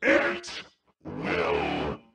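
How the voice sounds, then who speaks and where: A man's voice: extremely low pitch, wildly swooping pitch, gravelly, heavily acted. A man playing a menacing, sadistic virus villain in a video game, theatrical and taunting, with an echoing effect on the voice. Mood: sadistic, relishing. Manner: theatrical, taunting, drawn-out delivery. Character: menacing, sadistic male virus villain, gloating and doom-laden. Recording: echoing, distant game audio.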